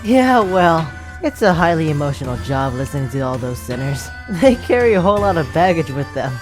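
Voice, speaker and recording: A woman playing a cocky, commanding retired judge of the underworld in an animated drama, switching between solemn and brashly sarcastic. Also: sits low, a little fast. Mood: amused, casual. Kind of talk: dramatic animated-story voice acting. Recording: music behind the voice.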